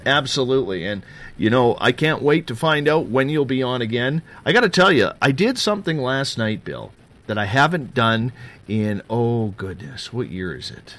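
Energetic male radio show host. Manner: energetic promo patter, drops low and slow for serious parts. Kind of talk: live radio show intro and chat.

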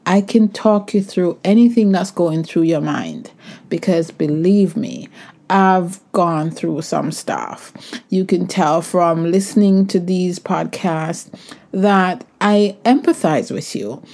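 A woman's voice: on the low side, takes it slowly, a little mellow. A middle-aged woman hosting a podcast, reading a reassuring promo and repeating her point to make sure it lands. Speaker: podcast host. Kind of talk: promo read for her coaching calls.

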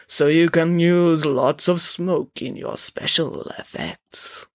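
A woman voicing a grand, stern fortune-teller sorceress with a resonant, booming voice and slow, theatrical intoning. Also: no echo, studio quality. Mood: intoning, imperious. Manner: slow, drawn-out, imperious intoning.

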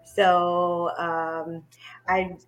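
A woman's voice: fairly low pitch, level tone, drawn-out words. A middle-aged woman from Haiti, a guest on a podcast who talks about herself in a hesitant way, with many ums and frequent laughs. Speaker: podcast guest, business coach. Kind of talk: casual podcast interview.

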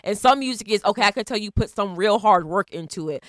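A woman's voice: medium pitch, expressive, fast talker, a bit bright, dry recording. A woman in her thirties hosting an interview, hesitant and full of fillers as she frames her questions. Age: 30s. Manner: hesitant, lots of fillers while framing questions. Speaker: interview host. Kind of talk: casual interview chat.

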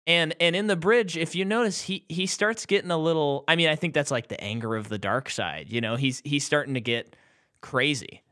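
A man's voice: on the high side, a little fast, sharp tone. A man co-hosting a podcast, chatting casually about a movie and acting out quotes with animation. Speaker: podcast co-host.

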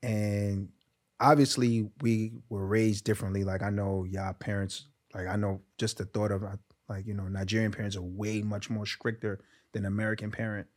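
A man's voice: lowish voice, little pitch movement, normal speed, a little mellow. A young man, a podcast guest talking frankly and casually, with lots of "like" as he goes. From Brooklyn, New York. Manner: frank, laid-back, heavy use of "like".

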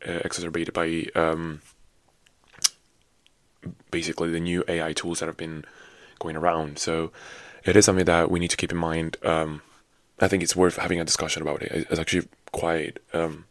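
A man in his twenties hosting a solo podcast in casual, rambling chat, his voice raspy and low after a night of drinking. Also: no echo. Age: 20s. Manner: rambling, many fillers and restarts. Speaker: podcast host.

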